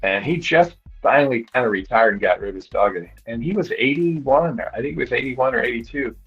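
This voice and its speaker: A man's voice: mid-range voice, animated, brisk, slightly rough, lo-fi sound, soft background music. A man in his sixties, a guest breeder, rambling and thinking aloud in casual conversation. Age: 60s.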